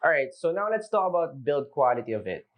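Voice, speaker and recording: A man's voice: on the high side, very animated, brisk. A young Filipino man, a native Filipino speaker, giving an unscripted casual product review, loose and chatty with lots of fillers as he talks to viewers. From Philippines. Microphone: camera mic.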